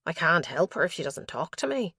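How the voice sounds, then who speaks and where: A woman's voice: on the low side, sing-song, brisk, sharp tone, slightly rough, dry recording, studio quality, exaggerated voice. A woman voicing an elderly father who pours his fears about his son into a diary, anxious and guilt-ridden.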